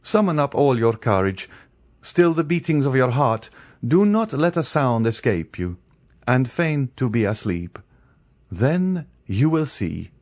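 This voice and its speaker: A grave storybook count, calm and fatherly, as a male reader plays him. He speaks in measured, solemn warnings, a little higher than the reader's own voice, and his pitch moves freely. The recording is close and dry. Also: no echo.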